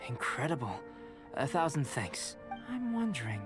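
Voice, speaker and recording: A mid-pitched young alchemist from an anime game , acted by a man. He is calm and cerebral, speaking with measured, formal politeness and a scholar's detachment over faint music. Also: close to an everyday voice. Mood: measured, scholarly.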